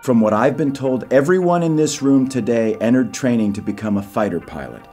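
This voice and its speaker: An actor playing a slick, polished civilian pitchman presenting a secret military program in an audio drama. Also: animated, brisk, warm tone, soft background music, lightly acted. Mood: smooth, assured. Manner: smooth, polished, salesmanlike.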